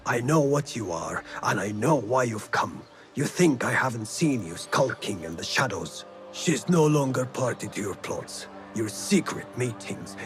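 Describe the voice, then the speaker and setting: A man playing a suspicious, protective, scornful figure in a video game, speaking with contempt and accusation. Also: medium pitch. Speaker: game character. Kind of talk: dramatic video game acting.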